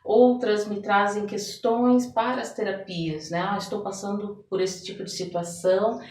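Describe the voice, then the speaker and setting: A woman's voice: medium pitch, moderate pace. A Brazilian woman answering in an interview as a guest, telling her experiences expressively and often checking for agreement. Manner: expressive storytelling, often seeking agreement with tags. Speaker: interview guest, therapist and theater director. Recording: echoey room, distant microphone.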